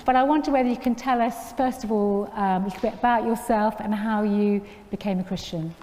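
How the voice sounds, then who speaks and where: A woman interviewing a guest on stage, asking gentle, encouraging questions. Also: medium pitch, a little fast. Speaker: interviewer at a women's conference. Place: church hall. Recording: echoey hall with faint noise.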